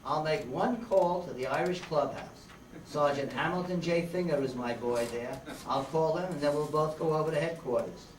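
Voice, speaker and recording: A man's voice: fairly high pitch, normal speed, lightly acted. A man playing a slangy, wisecracking hard-boiled tough guy in an amateur radio detective play. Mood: wry. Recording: distant echoey room, faint noise.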